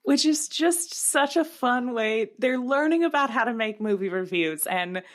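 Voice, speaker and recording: A woman's voice: fairly high pitch, lively intonation, measured pace. A woman co-hosting a podcast in casual, self-deprecating chat. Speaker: podcast co-host.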